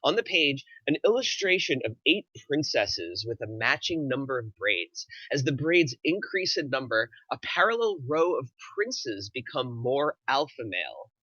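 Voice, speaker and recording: A man's voice: mid-range voice, big pitch swings, a little slow, few pauses, pushed and bright, husky, no echo. A man reading scripted stage directions as the narrator of a screenplay table read. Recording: slightly dull, dry recording.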